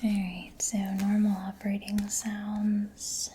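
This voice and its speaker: A woman speaking in a soft, breathy whisper, gentle and soothing, in a close-miked ASMR personal attention roleplay as a mechanic. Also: medium pitch, monotone, takes it slowly, a little hiss or hum. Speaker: ASMR roleplayer playing a robot repair mechanic. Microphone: close microphone.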